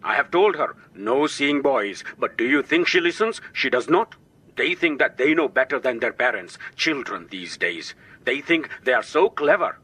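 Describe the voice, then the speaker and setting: An actor playing a blustering, impatient, domineering father in a radio drama, husky, with a Southern African accent. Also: medium pitch, very animated, normal speed, bright, forceful tone, heavily acted. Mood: exasperated.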